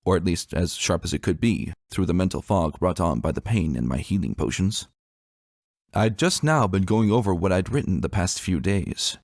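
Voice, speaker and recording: A man's voice: deep voice, expressive, normal speed, a little mellow, no echo, studio quality. A man playing an earnest, self-deprecating wounded soldier prince, a stallion narrating his own dramatic story. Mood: reflective, measured.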